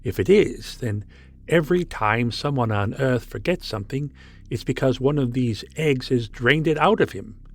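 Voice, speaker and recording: Man playing a ship's doctor, mid-pitched, folksy, excitable, skeptical, theatrical, old radio drama. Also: moderate pace, close to an everyday voice. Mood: alarmed, skeptical. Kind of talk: 1950s radio drama acting.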